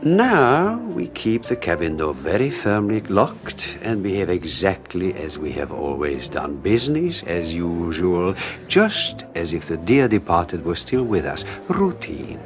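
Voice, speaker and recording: A silky, scheming schemer. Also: male voice, low voice, big pitch swings, over music. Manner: silky, mocking, drawn-out delivery. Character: scheming suave man plotting with his lover. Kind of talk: 1980s radio drama acting.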